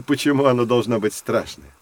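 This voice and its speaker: An actor playing a kindly, encouraging professor who guides children in an educational audio play. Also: male voice, mid-range voice, moderate pace, natural voice. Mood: amused. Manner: genial, encouraging.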